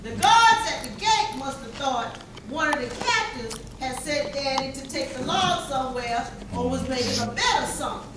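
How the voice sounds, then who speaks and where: A high-voiced woman tells a folk tale conversationally in readers theater. Her voice comes from far off in a very echoey, noisy hall. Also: expressive, normal speed. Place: large echoey chapel during a live performance.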